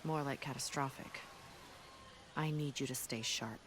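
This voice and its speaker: An actor plays a battle-hardened resistance fighter from an apocalyptic future in a video game cutscene. The delivery is earnest, solemn and deliberate. Ambient noise is clearly audible behind the voice. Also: medium pitch, lightly acted. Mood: grave, resolute.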